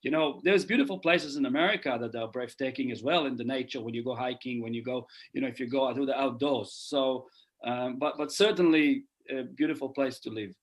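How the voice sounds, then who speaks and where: A man's voice: mid-range voice, fairly quick. A middle-aged man from Israel, speaking earnestly as a podcast guest and often repeating phrases as he thinks. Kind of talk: casual podcast conversation.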